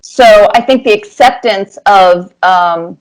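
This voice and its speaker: A woman's voice: mid-range voice, takes it slowly, low-quality recording. Thoughtful middle-aged woman being interviewed. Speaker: interview guest, cartoonist. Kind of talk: conversational interview answers.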